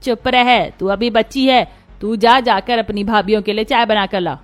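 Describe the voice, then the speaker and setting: Woman playing a scheming, high-voiced mother-in-law. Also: steady tone, moderate pace, natural voice. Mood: snappish. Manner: bossy, theatrical. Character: scheming, commanding middle-aged mother-in-law feigning sweetness. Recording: music behind the voice.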